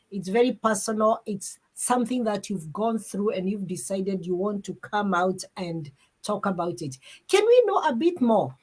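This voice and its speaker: A woman's voice: medium pitch, husky. A woman hosting an interview, talking animatedly and often repeating words as she gathers her thoughts. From Kenya. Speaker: interview host. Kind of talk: casual interview chat.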